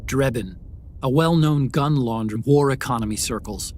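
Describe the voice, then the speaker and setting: A man acts out an analytical game-cutscene adviser with a medium-pitched voice. He explains things in an earnest, articulate, measured way, as in a mission briefing, with faint noise behind him. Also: a little mellow, close to an everyday voice. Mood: informative. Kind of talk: video game cutscene acting.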